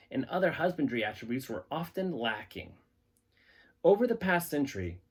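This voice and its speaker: A man hosting a hobby channel, talking to viewers and reading aloud from written material. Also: fairly high pitch. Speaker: host of a reptile-keeping channel. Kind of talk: casual talk to viewers and reading a scientific paper aloud.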